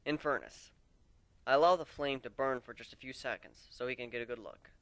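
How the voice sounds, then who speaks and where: A man narrating a dramatic first-person story in an expressive, conversational way. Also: medium pitch, brisk, dry recording.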